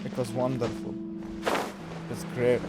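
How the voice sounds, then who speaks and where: Slow-talking man reacting to a game. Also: medium pitch, completely flat delivery, poor audio. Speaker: gamer reacting to a game story. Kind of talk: casual gameplay reaction commentary. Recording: game music behind the commentary.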